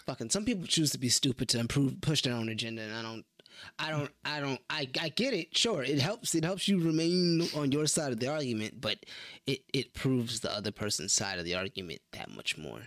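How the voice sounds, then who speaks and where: A blunt, opinionated man making his case in a podcast conversation. His tone is bright and forceful at a middle pitch. The recording is dry, with no room echo. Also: lively intonation, fairly quick. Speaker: podcast co-host. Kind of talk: casual chat.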